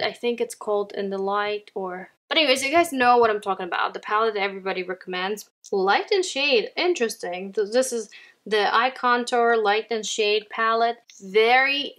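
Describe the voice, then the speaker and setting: A woman's voice: fairly high pitch, a bit bright. Chatty woman with a Ukrainian accent. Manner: chatty, often addresses viewers as "you guys". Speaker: beauty vlogger. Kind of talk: casual makeup declutter chat. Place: at home, indoors.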